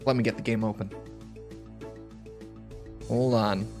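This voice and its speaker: A man chatting casually and jokingly to his live-stream viewers while playing a game, his voice a little rough. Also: on the low side, steady tone, unhurried, darkish tone, soft background music. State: slightly rough voice. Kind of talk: casual live-stream chat over gameplay.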